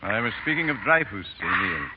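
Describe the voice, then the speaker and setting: Earnest literary gentleman, slightly deep, dramatic, vintage radio drama, muffled recording. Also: very animated, quick pace. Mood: courteous. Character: compassionate, grave French man of letters. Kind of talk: 1930s radio acting. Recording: dull, hissy 1930s radio recording.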